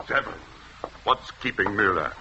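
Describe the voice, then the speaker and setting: Dull, hissy old radio sound carries a very deep, hard-edged voice. a secretive village doctor and husband, curt and evasive with contained menace, swinging sharply in intonation. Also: male voice, animated, normal speed, bright, forceful tone, full character voice. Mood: impatient. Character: tense, secretive village doctor husband hiding murderous intent. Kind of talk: 1940s-50s radio drama acting. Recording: dull, noisy vintage radio recording.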